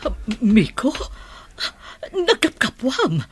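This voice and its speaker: A woman's voice: high voice, wildly swooping pitch, slow, husky, no room sound, soft background music, exaggerated voice. A woman with an Ilocano accent playing a fretful, worried mother and madam in a Filipino radio drama.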